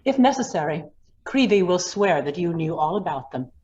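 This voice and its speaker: A woman's voice: lowish voice, lively intonation, moderate pace, a little mellow, muffled recording, lightly acted. A woman playing a ruthless, commanding lawyer in an old-time radio drama, hard and threatening in manner. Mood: coolly menacing. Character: ruthless, commanding female lawyer blackmailing a judge.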